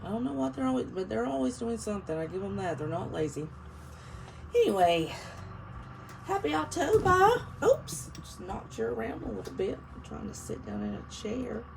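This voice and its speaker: A woman's voice: on the high side, huge pitch swings, normal speed, gravelly. A woman in her fifties with a cold, sounding nasal and congested, chatting casually in a vlog from home. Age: 50s. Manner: rambling and chatty, with frequent laughs. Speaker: planner vlogger. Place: home, seated in a chair. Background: faint neighbors' construction noise.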